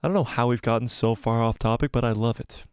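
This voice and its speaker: A young man hosting a live stream, rambling excitedly, laughing often and reading viewer comments, slightly losing his voice. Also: medium pitch, quick pace, clear, resonant tone. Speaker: YouTube channel host.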